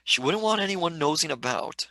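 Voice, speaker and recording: Actor playing a bright-voiced, cheeky lad. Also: male voice, a little high, lively intonation, normal speed, dry recording. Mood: skeptical. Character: cheeky, skeptical young Cockney male companion, slangy. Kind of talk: live script reading, radio play.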